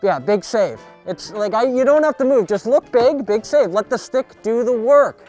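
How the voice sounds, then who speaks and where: A young man outdoors giving a jokey sports tutorial, coaching in a mock-serious, deadpan way full of hockey slang. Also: very high voice, moderate pace. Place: backyard, outdoors. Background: music.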